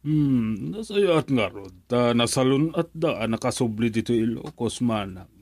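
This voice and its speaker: A man's voice: mid-range voice, expressive, measured pace, a little mellow, no room sound. A man playing a loving, resigned middle-aged father in an Ilocano radio drama, with an Ilocano Filipino accent. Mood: resigned, concerned.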